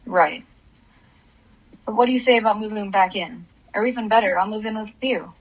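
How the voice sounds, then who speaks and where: A woman's voice: mid-range voice, moderate pace, breathy edge, muffled recording, close to an everyday voice. A woman playing a troubled, grieving divorced mother in a radio play, subdued and brooding. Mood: needy.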